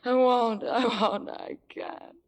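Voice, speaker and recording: An actress playing an ambitious, scheming young stage star in an old radio drama, with a dramatic, intense delivery. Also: female voice, a little high, fairly even intonation, slow. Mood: defeated. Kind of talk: 1940s-50s radio drama acting. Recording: reverberant vintage radio recording.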